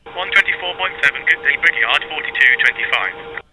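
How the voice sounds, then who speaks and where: A man's voice: mid-range voice, lively intonation, brisk. A man playing a polite, businesslike airline pilot in a simulator game, heard through a radio filter. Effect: aircraft radio filter. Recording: noisy aircraft radio transmission.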